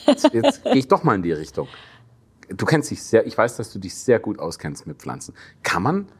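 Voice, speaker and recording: A man's voice: fairly low pitch, huge pitch swings, brisk, breathy edge. A man hosting a talk show, asking chatty, playful questions and cracking jokes, with frequent restarts. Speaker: talk-show host, actor. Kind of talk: casual talk-show interview.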